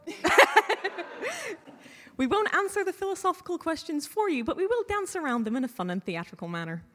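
A woman's voice: sits high, big pitch swings, a little fast. A woman speaking as a panel guest, giving informal advice in a wry, casual way. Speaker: panel guest, podcast creator. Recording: echoey hall with long reverb.

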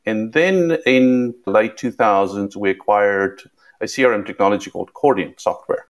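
A middle-aged man with a South African accent, slightly Americanized with a British touch, speaking as a podcast guest in a measured way and often repeating words as he starts a phrase. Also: fairly low pitch. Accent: South African, somewhat Americanized with a British touch. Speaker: guest, tech executive. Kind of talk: podcast interview.

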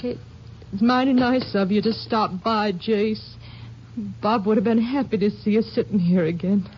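An actress playing a grieving sheriff's widow in a 1950s radio drama, speaking softly and haltingly with a Texan accent. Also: female voice, medium pitch, slightly rough, faint music behind. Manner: hesitant, halting. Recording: muffled old radio recording.

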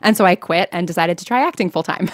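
Casual interviewee: young American woman, lowish voice, chatty and self-deprecating, recorded dry. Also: animated, normal speed, no echo. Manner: chatty, self-deprecating, laughs while talking. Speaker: podcast creator and actor interviewed. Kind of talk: casual personal storytelling in an interview.

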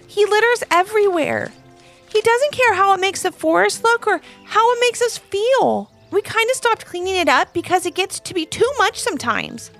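A woman's voice: very high-pitched, expressive, normal speed, creaky, fairly bright tone, faint music behind, pitched above the performer's own voice, full character voice. A woman voicing an eager young male squirrel in a storybook reading, earnest and chatty.

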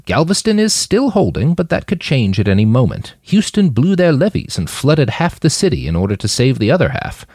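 A man's voice: fairly high pitch, a little mellow, no room sound, studio quality, voice pushed up, exaggerated voice. Man voicing a wry old woman leader, swooping intonation. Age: older. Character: eldest old woman leader, wry, blunt, matter-of-fact grandmother.

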